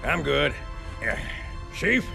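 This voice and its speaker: An actor playing a gruff, wisecracking marine sergeant in a video game cinematic. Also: male voice, on the high side, very animated, slow, long reverb tail, over music, exaggerated voice.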